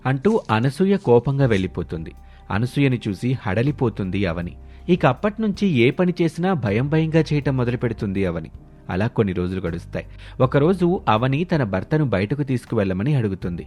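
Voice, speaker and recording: A man's voice: mid-range voice, big pitch swings, normal speed, a little mellow. Male storyteller over background music. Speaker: narrator. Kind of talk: scripted story narration.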